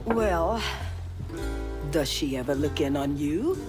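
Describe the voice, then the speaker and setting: A woman playing a kindly, shrewd elderly neighbor with an American Southern accent, motherly and unhurried, in a cinematic video game. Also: deep voice, expressive, music in the background. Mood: gentle, knowing.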